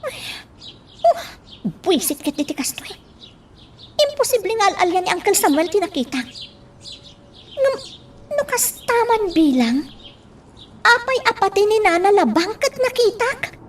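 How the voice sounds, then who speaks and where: A very high-pitched young heiress. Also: female voice, big pitch swings, unhurried, pauses often, heavily acted. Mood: frightened, muttering. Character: young heiress, anxious, easily exasperated daughter. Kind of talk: radio drama acting. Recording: faint noise, distant microphone.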